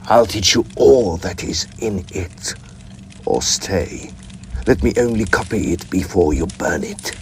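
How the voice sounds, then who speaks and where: Man doing a creaky arrogant occultist villain, desperate and melodramatic, slow delivery, audio drama. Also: low voice, lively intonation, slight background noise. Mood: desperate pleading. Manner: pleading, indignant, theatrical.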